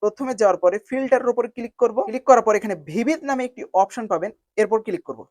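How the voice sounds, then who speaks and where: A woman narrating a step-by-step software tutorial in an instructional manner, spelling out each step in repeated phrasing. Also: a little high, rapid-fire, no echo, studio quality. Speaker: video editing tutorial host.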